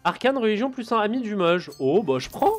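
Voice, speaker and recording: A man hosts a let's play, giving relaxed, chatty commentary as he plays and talking to himself as he goes. His voice sits a little high, with faint music behind him. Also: fairly quick. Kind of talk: casual gameplay commentary.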